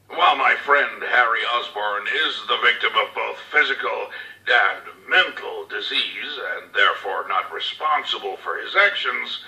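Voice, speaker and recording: An actor playing a cold, calculating crime boss tycoon dictating orders in a measured, menacing, condescending way for a video game audio log. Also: male voice, mid-range voice, pushed and bright, full character voice. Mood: solemn, grandiose. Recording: roomy, slightly distant audio log.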